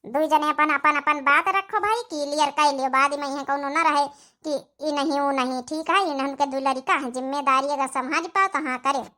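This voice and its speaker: A woman's voice: high voice, normal speed, pushed and bright. A woman playing a blunt, dutiful young village woman in a cartoon drama, speaking forthrightly with an Awadhi accent. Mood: earnest, insistent. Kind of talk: cartoon comedy-drama dialogue in village dialect.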